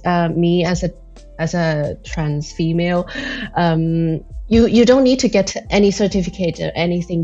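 A young woman, her voice mellow and a little low. She talks thoughtfully as a podcast guest, pausing to find her words. The recording sounds dull and lo-fi. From Thailand. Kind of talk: casual interview talk.

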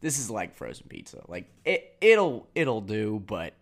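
A man's voice: fairly low pitch, very animated, unhurried, vocal fry. A young man co-hosting a casual podcast, rambling and joking with many "likes.". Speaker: podcast co-host.

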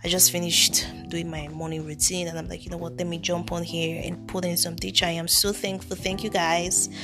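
A woman's voice: on the low side, little pitch movement, fairly quick, music in the background. A woman with a thick Nigerian accent, hosting her own podcast in a chatty, earnest way, with frequent ums and restarts. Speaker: podcast host, immigration consultant. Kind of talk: casual solo podcast talk.

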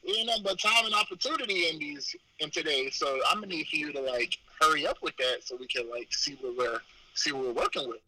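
A man's voice: on the high side, fast talker, sharp tone, rough recording. A man teasing his friend in playful, slangy podcast banter. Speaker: podcast co-host.

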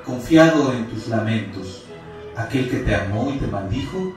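A man's voice: lowish voice, lively intonation, unhurried, a little mellow. A man acting as God's angelic messenger in a radio play, solemn and pleading, with declamatory, preaching speech. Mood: grave, persuasive. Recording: very echoey, distant, music underneath.

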